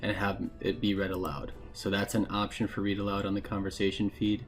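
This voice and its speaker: A man narrating a casual software tutorial in a plain, informal, explanatory way. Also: lowish voice, completely flat delivery, a little fast, faint music behind. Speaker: instructor giving a software tutorial.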